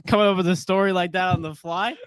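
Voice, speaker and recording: A man co-hosting a casual sports chat, joking and rambling as he tells stories. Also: high voice. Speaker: podcast co-host.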